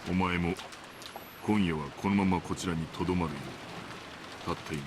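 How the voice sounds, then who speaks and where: An actor playing a stern, older head butler in a visual novel, speaking formally and with terse authority. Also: male voice, low voice, fairly even intonation, fast talker, natural voice. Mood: grave. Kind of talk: anime visual novel voice acting. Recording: clear rain noise over the voice.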